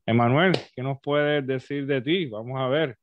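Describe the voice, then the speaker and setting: A man in his thirties, a pastor hosting an interview over a headset, asking long, measured, churchly questions. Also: medium pitch, no room sound. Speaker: pastor and host. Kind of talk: interview over video call. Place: home office with bookshelves. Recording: dry, lo-fi video call audio.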